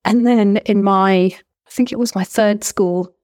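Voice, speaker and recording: A woman's voice: mid-range voice, fairly even intonation, unhurried, a little mellow. Chatty, enthusiastic Englishwoman in her forties. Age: 40s. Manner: chatty, enthusiastic, laughs often. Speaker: guest, former language teacher. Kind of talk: casual interview chat.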